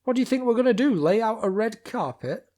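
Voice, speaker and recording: A man's voice: very high-pitched, expressive, fairly quick, darkish tone, pitched above the performer's own voice. A man voicing a grumpy, sarcastic male castle guard who bellows down bluntly from the battlements. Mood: gruff shouting.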